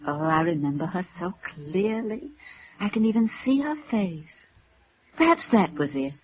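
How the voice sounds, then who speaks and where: An actress playing a kindly, slightly flustered high school nun in 1950s radio drama, gentle, hesitant and wistful. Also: female voice, expressive, unhurried. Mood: wistful, fond. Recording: muffled vintage radio recording.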